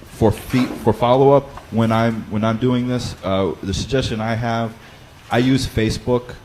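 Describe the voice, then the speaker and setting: A man with a loud voice who leads a Bible study, explaining practical advice in a conversational way with frequent fillers and restarts. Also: medium pitch, fairly even intonation, moderate pace, a little hiss or hum, low-quality recording. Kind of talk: instructional talk.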